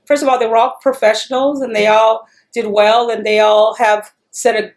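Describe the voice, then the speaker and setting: A woman's voice: fairly high pitch, little pitch movement, normal speed. A woman from El Paso, Texas, talking in an oral history interview in a chatty, rambling way, full of restarts.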